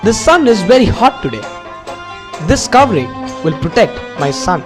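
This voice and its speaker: A woman voicing a clever male courtier who speaks calmly and politely. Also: mid-range voice, normal speed, natural voice. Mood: protective. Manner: calm, polite, plainly spoken. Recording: music under the voice.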